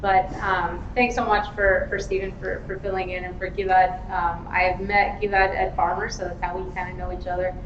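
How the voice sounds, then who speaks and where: A woman's voice: fairly low pitch, flat delivery, moderate pace, hardly any pauses. Chatty woman speaking in an echoey room. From Argentina, grew up in Los Angeles. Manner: chatty, many fillers and restarts. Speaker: panel speaker, former innovation head. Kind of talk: conversational panel talk. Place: event space with live audience. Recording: echoey room, dull sound, noisy background.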